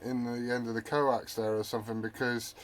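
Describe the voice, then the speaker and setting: A man's voice: medium pitch, flat delivery, a little fast. A man chatting casually as a hobby radio operator, rambling and often correcting himself mid-thought.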